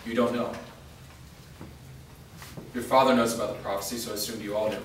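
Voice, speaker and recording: A man playing a wounded, resolute part-vampire fighter in a staged reading, speaking in a serious, measured, explanatory way. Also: on the low side, steady tone, close to an everyday voice. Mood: guarded, serious. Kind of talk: staged reading of a fantasy drama. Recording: echoey room, distant mic, faint noise.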